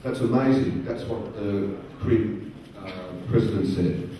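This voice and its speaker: Middle-aged male lecturer, mellow tone, plain repeated phrasing, heard from afar in a booming hall with faint noise. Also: recorded from a distance. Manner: slow, simple wording with repeated phrases for learners; asks the audience questions. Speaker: guest speaker at a career event. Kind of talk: lecture to a live audience. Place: large conference hall.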